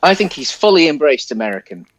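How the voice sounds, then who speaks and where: A man's voice: a little high, sing-song, quick pace. A man co-hosting a podcast, casually discussing a film in a hesitant, rambling way. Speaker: podcast co-host.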